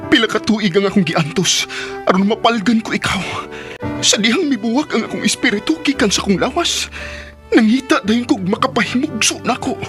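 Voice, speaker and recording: Impassioned high-voiced undying lover played by a woman. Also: animated, fairly quick, lightly acted. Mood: yearning, solemn. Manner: impassioned, declamatory. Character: ardent lover returned from death, vowing devotion. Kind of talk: melodramatic radio drama acting. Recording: radio drama over loud music.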